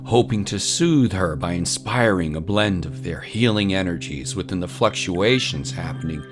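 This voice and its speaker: A man's voice: deep voice, lively intonation, normal speed. A man narrating a scripted fantasy story in the manner of an audiobook. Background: prominent music.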